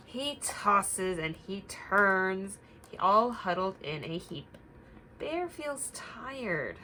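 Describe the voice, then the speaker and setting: A woman teacher reading a picture book aloud to young children, instructive and deliberate, stopping to put questions to them. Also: medium pitch, fairly bright tone, slight background noise.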